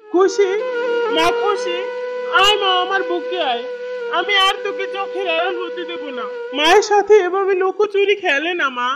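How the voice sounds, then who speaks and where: A woman's voice: very high voice, normal speed, over loud music. A woman playing a doting, distraught village mother in a children's cartoon, pleading and emotional. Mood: tearful longing. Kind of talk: Bengali children's cartoon voice acting.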